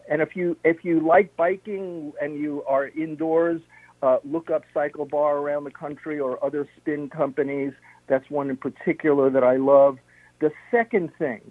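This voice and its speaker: Guy walking through health tips point by point, bit husky, sounds like a phone call. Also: a little high, no room sound. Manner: steady, instructive, lists points one by one. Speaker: health practitioner guest. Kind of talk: radio interview, explaining health tips. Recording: narrow phone-line sound.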